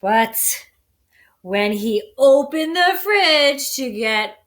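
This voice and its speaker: Slow, very animated woman reading a storybook. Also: high voice. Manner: addresses young listeners, dramatic word emphasis. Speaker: storybook reader for children. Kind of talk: expressive children's read-aloud.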